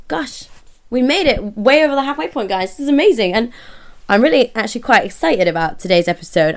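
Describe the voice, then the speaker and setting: Croaky bubbly woman hosting. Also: medium pitch. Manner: bubbly, rambling, swears casually. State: hoarse from illness. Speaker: podcast host. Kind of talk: casual podcast chat.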